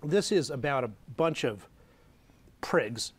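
A man's voice: a little high, animated, takes it slowly, slightly rough. A middle-aged man arguing bluntly and scornfully as a panelist on a televised political debate, recorded on a lapel mic in a studio. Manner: blunt and scornful, mocking opponents. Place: dark television studio set at a desk.

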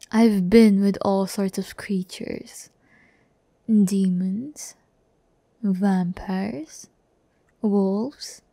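Slow, soft-toned teasing demon girl played by a woman. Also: mid-range voice, dry recording. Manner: breathy, unhurried, teasing, often dropping to a whisper. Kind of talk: intimate ASMR audio roleplay. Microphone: close-up microphone.

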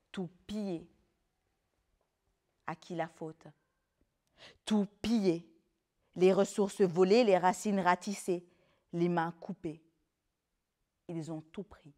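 A woman's voice: medium pitch, darkish tone. A woman slam artist, speaking as a spoken word performer. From Belgium (Brussels), of Rwandan descent. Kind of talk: spoken word performance alternating with interview talk.

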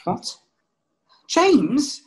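A man's voice: extremely high pitch, sing-song, very slow, darkish tone, faint music behind, full character voice. A man playing an anxious, needy young boy in an earnest, uncertain manner at a stage play table read. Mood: surprised.

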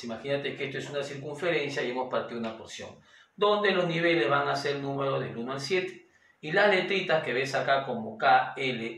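A man's voice: mid-range voice, fairly bright tone, slight background noise. A man, teaching a chemistry lesson at a whiteboard and often voicing his students' questions himself. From Peru. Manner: addresses students directly and voices their questions; slows to a sing-song when dictating orbitals. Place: small room at a whiteboard. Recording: echoey room.

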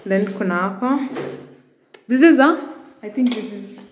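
A woman's voice: medium pitch, animated, unhurried, a little hiss or hum. A young Kenyan woman vlogging casually, chatting as she films a house tour on her phone and slipping Swahili into her English. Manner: chatty, mixes Swahili into English, laughs often. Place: rented house, indoors, walking room to room. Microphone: phone camera held in hand.

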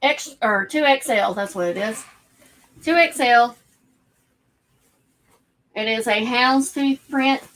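A woman in her thirties with a fairly high voice co-hosts a live clothing auction from a cluttered room at home. She calls bids briskly and describes each piece plainly. The sound is that of a webcam at her desk. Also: a bit bright, poor audio. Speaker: online auction co-host.

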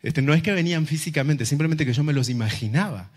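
Argentine man preaching in storyteller style. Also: fairly high pitch. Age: middle-aged. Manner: animated storyteller, rhetorical questions, dramatic pauses and repetition. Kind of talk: sermon with personal storytelling to a congregation. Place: large indoor church auditorium, on stage. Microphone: handheld microphone.

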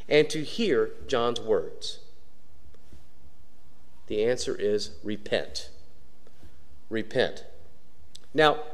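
A middle-aged male pastor preaching a sermon from the pulpit, telling stories with frequent pauses. He speaks into a pulpit microphone in a church sanctuary. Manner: storytelling preacher, pauses often and varies pitch widely. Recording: long church reverb.

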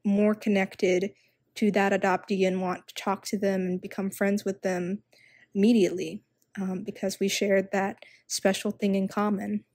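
A woman's voice: mid-range voice, darkish tone, no room sound. Earnest young woman explaining, dry sound. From China. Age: young adult. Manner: earnest, conversational, with fillers. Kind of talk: casual explanation.

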